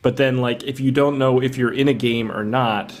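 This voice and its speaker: A man's voice: mid-range voice, fairly even intonation, normal speed, a little hiss or hum. A man co-hosting a podcast, discussing a film casually and informally, thinking aloud as he goes. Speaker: podcast co-host.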